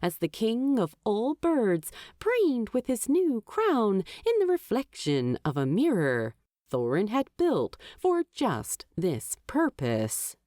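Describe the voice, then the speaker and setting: A woman narrating a fantasy audiobook in a measured, wry storytelling manner. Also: a little high, wildly swooping pitch, dark tone, no room sound, studio quality. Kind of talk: expressive audiobook narration of fan fiction.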